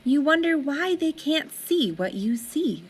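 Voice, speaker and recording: Children's story reader: woman, lightly high voice, very lively intonation, deliberate dramatic phrasing, music underneath. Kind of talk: expressive storybook narration.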